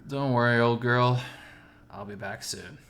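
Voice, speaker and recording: A man's voice: medium pitch, unhurried, a bit bright, slight background noise, lightly acted. A man playing a drowsy, affectionate boyfriend just waking up, in a visual novel. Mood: gentle.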